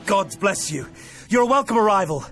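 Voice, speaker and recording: Firm, fairly high-voiced commander. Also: male voice, big pitch swings, brisk, a bit bright, heavily acted. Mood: welcoming. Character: stubborn, dutiful human military commander. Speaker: army commander. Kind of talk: dramatic video game voice acting. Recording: music behind the voice.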